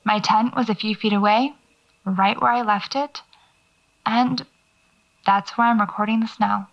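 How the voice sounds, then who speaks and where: A woman's voice: medium pitch, fairly even intonation, unhurried, close to an everyday voice. A woman playing a reflective, awed horror writer, recounting her experience as an audio drama monologue. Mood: relieved, pensive. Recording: dull tape recording, faint music.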